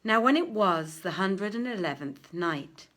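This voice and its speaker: A woman hosting a live storytelling session, speaking to her audience with deliberate articulation and emphatic phrasing. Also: mid-range voice, lively intonation, fairly bright tone. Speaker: theatre director hosting a live reading. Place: live video stream.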